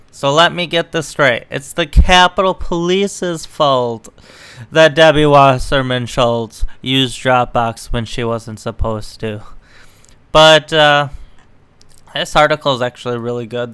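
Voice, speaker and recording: A man's voice: mid-range voice, a bit bright, smooth, dry recording. A man reading news articles aloud and adding casual, sarcastic, opinionated commentary as an online political commentator. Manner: sarcastic, mocking.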